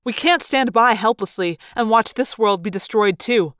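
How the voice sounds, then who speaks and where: A patient, ancient male android speaks in a soft, measured way, as a woman reads him. His tone stays earnest and gentle. The sound is dry and close. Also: medium pitch, no echo, natural voice. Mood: impassioned.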